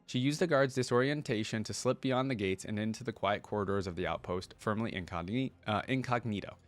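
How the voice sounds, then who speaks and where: A man's voice: on the low side, a little fast. A man reading a story aloud and breaking in with casual commentary of his own, as a reaction host.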